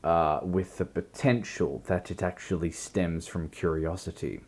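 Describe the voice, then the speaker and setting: A man with a croaky voice, hosting a livestream and musing slowly through a philosophical chat that builds into long lists. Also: deep voice, steady tone, darkish tone. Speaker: livestream host.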